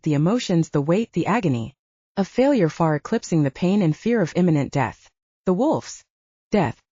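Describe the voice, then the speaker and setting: A woman narrates a first-person novel in a soft, dark tone with very animated pitch. The recording is close and dry, with a muffled lo-fi sound. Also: husky, dry recording. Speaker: audiobook narrator. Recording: dull lo-fi, very dry.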